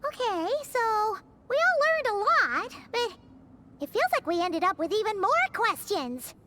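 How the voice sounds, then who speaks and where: A woman voicing a small, blunt, childlike girl companion in a video game, squeaky and easily exasperated. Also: very animated, a little slow, noisy background, full character voice. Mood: unsure. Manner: childlike, blunt, speaks of self in third person. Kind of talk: video game character acting.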